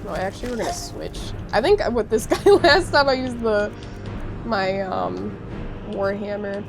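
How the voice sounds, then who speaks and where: A woman's voice: medium pitch, measured pace, gravelly, over music. A woman streaming a game, chatting casually and directly to her viewers, sounding congested from a cold. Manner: chatty, talks straight to viewers with lots of "like". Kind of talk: casual live-stream gameplay chat.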